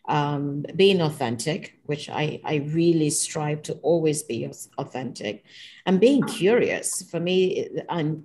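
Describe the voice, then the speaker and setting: A woman co-host wrapping up a podcast conversation over a video call in a gracious, measured way. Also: sits low, darkish tone. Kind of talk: podcast wrap-up.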